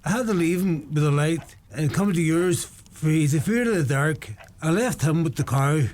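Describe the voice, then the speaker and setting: An actor playing an anxious country neighbour in a radio play. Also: male voice, a little high, moderate pace, darkish tone, lightly acted. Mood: urgent. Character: anxious rural Donegal neighbour calling for help at night.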